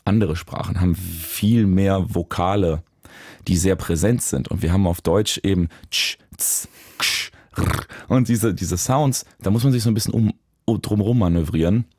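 A man, a musician, talking reflectively in an interview, searching for words with pauses and restarts. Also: low-pitched, a little mellow, dry recording, clean studio sound. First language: German. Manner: thoughtful, searching, with fillers and restarts. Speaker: musician guest.

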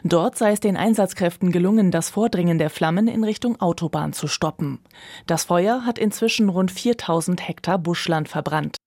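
Woman, news reader, soft-edged dark timbre, scripted bulletin delivery. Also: fairly low pitch, moderate pace, dry recording, studio quality.

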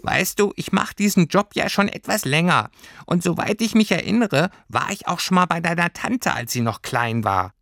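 Casting: a small plaintive storybook critter, high tiny voice, swooping intonation, childlike and curious. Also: male voice, lively intonation, normal speed, a bit bright, no room sound, clean studio sound. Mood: gently advising. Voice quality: tiny little voice. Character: small furry homesickness creature, sad, plaintive, childlike. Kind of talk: storybook character voice.

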